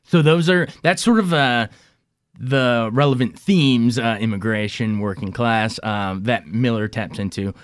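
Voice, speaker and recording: A man hosting an interview, upbeat and quick to laugh, asking casual questions. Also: medium pitch, clear, resonant tone, no room sound, clean studio sound. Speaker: interviewer host. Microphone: studio mic.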